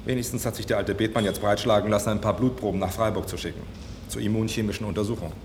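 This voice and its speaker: A man's voice: on the low side, steady tone, fast talker, a little hiss or hum, lightly acted. A man acting an eager, impatient hospital doctor in a German radio drama. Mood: insistent, explaining. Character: man, eager hospital doctor defending his allergy theory.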